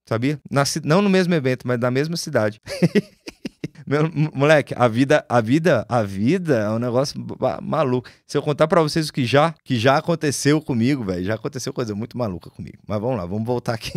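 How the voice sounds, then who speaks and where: Brazilian guy in his 40s rambling fast about studio days, slangy, dry close mic. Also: mid-range voice, no room sound. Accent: Brazilian Portuguese, lost Goiás accent. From Goiás, Brazil. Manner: animated storyteller, slangy, swears. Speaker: music producer and host. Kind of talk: casual live chat to viewers. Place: small home studio. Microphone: studio mic on boom.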